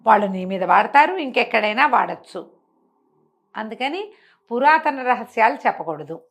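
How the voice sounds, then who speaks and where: A woman's voice: a little high, lively intonation, moderate pace, fairly bright tone. A woman giving a conversational moral talk, teaching emphatically and often posing rhetorical questions. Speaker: storyteller explaining moral teachings.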